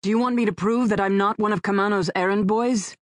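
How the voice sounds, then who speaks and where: A woman doing a gruff, curt male rescuer. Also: high voice, fairly even intonation, fast, a bit bright, no room sound. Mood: commanding. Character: tough adult male rescuer, blunt, sardonic.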